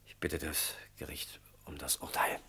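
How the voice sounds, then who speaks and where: An actor playing a guilt-struck salesman in a radio play, confessing earnestly in a halting, often hushed way. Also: rumbling low, level tone, in a whisper, full character voice. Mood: humbly resigned. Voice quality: husky. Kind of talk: German radio play acting.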